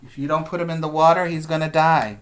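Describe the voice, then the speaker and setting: A man's voice: on the high side, fairly even intonation, fast talker. A man teaching preschoolers, speaking in an instructive, question-and-answer way as he reads aloud and guides young children.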